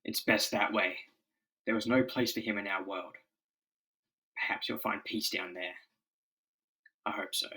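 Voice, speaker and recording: A man's voice: fairly low pitch, steady tone, measured pace, long pauses, sharp tone, far from the mic, exaggerated voice, low-quality recording. A man voicing an earnest, heroic comic-book superhero with bold, declamatory delivery. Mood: solemn. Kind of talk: dramatic motion-comic voice acting.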